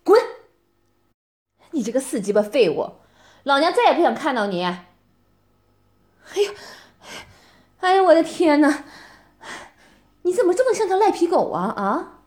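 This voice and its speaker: A woman's voice: sits high, big pitch swings, a little slow, long pauses, darkish tone. A woman voicing a scornful young woman who mockingly berates her ex-boyfriend in a one-voice audio roleplay, sneering and full of insults. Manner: sneering, mocking, profane, keeps taunting with "啊？".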